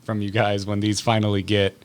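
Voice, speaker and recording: Male podcast interviewer, low pitch, informal bantering delivery. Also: completely flat delivery, fairly quick.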